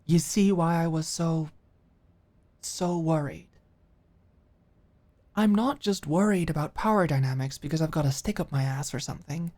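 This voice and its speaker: A woman playing an earnest, caring office manager in an intimate ASMR roleplay, speaking haltingly and with open emotion. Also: low voice. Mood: serious, caring. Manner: halting, sighing, emotionally expressive.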